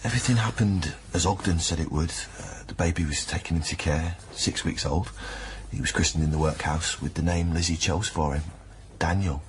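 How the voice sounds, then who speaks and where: Radio play: male actor as gentle, concerned partner, low-pitched natural delivery over noticeable background noise. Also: moderate pace, few pauses, close to an everyday voice. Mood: grave. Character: earnest, concerned male partner of a troubled woman. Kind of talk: naturalistic radio drama dialogue.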